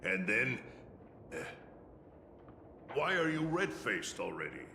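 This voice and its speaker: An actor playing a proud, sardonic old warrior mentor in a video game, speaking in a theatrical, rhetorical way. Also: male voice, medium pitch, sing-song, slow, pushed and bright, long reverb tail, slight background noise, heavily acted. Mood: relishing storyteller. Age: older. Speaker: mentor and storyteller. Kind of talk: dramatic game voice acting.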